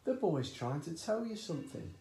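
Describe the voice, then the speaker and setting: A man doing a calm, chiding mother. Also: fairly high pitch, moderate pace, pitched above the performer's own voice. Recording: roomy echoey home recording.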